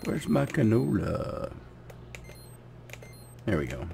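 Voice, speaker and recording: A man with a cold and a gravelly, hoarse voice, casually commenting on his farming game while often clearing his throat. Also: on the low side, sing-song, takes it slowly, warm tone, slight background noise. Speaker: gaming channel host.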